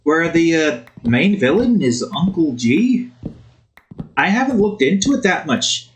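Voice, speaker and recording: A man's voice: a little high, big pitch swings, unhurried, rough recording. A man hosting a game video with casual, rambling commentary in a put-on Scottish accent that wavers. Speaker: gaming video host. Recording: faint background noise.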